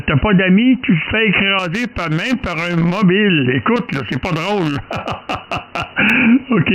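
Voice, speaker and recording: A man's voice: on the high side, fairly bright tone. A man with a Quebec French accent chatting casually as a radio operator, rambling and hesitant, often repeating himself. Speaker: amateur radio operator leading a group contact. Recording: clearly noisy amateur radio recording with clicks.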